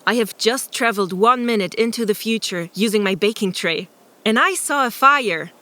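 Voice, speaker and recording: A woman's voice: on the high side, normal speed. A woman playing an odd, earnest character who makes surreal pronouncements in a radio play. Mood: earnest, matter-of-fact. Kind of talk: scripted radio play acting. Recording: prominent music under the voice. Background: loud music.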